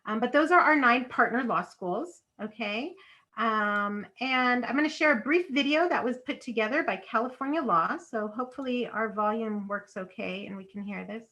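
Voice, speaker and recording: A woman presenting a program at an online information session, often pausing with "um" as she explains. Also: fairly high pitch, bright, forceful tone. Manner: frequent ums, friendly explaining.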